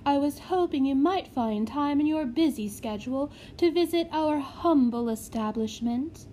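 A woman voicing a fawning, gushing matron who flatters a visiting gentleman. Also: high voice, measured pace, a little hiss or hum, pitched above the performer's own voice, low-quality recording. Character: fawning, gushing middle-aged woman running a house for children.

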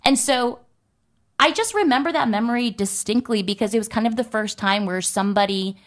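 A woman's voice: a little high, a little fast. A young woman telling a personal story to her viewers in a chatty way, full of "like" and "you know". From United States.

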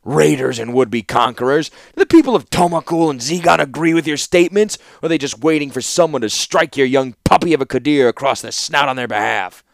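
A man's voice: mid-range voice, lively intonation, normal speed, few pauses, a bit bright, dry recording, clean studio sound. A man voicing an aggressive, stubborn old warlord in an audiobook, snarling and condescending with contempt. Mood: snarling scorn.

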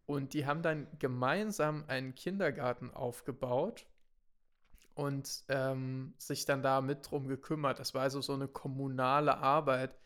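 A young man from Germany, a podcast co-host, telling stories in a relaxed way, laughing often and mixing in English words. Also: medium pitch, measured pace. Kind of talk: casual chat.

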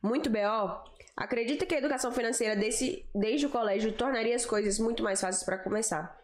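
A woman hosting a casual interview, reading out her questions slowly before slipping into a joke. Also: on the high side. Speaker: podcast host asking questions. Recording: echoey room with noise.

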